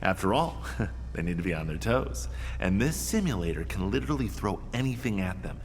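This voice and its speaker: Neurotic, theatrical, low-voiced boss. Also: male voice, lively intonation, fairly quick, slight background noise. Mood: chatty, breezy. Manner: rambling, digressive, theatrical. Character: neurotic, self-dramatising male boss of an assassin company. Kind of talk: scripted audio drama dialogue, comedic.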